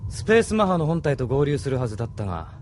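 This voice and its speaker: A man's voice: mid-range voice, very animated, very fast, darkish tone, a little hiss or hum, close to an everyday voice. A man playing a self-assured veteran major and ace pilot in an anime audio drama. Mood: confident. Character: proud veteran male ace pilot, vengeful. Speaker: military major.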